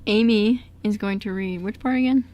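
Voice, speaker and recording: A woman's voice: medium pitch, normal speed, a little mellow. A young woman hosting a radio show in casual, informal, slightly hesitant chat.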